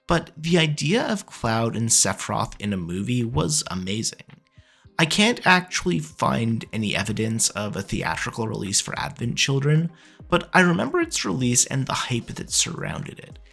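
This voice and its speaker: A man's voice: medium pitch, gravelly, fairly bright tone, husky. A man narrating a scripted video review in a casual, opinionated way. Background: background music.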